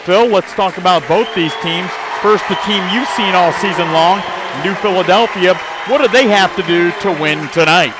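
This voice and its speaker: A man's voice: high voice, normal speed. An American man hosting a pre-game sports radio broadcast, moving between questions and sponsor reads. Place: basketball gym. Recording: faint gym crowd and noise behind.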